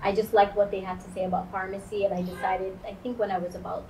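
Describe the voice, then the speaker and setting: A woman in her forties from Guyana, telling her story in an interview in a lively, animated way. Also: medium pitch, fast, a little hiss or hum, rough recording. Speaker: interview guest. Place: indoors against a pale wall. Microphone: lapel mic.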